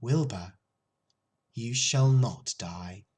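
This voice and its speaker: A woman voicing a calm, firm female spider in a storybook, measured, thoughtful and no-nonsense. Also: rumbling low, husky, full character voice. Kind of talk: storybook character voice.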